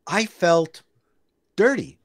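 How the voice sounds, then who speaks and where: An older man from Canada, a guest telling stories with lively, acted-out quotes on a video call from a home room. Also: high-pitched, takes it slowly, creaky, no echo, clean studio sound. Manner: animated storyteller who acts out quotes. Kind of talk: casual anecdote telling. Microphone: desk mic on a video call.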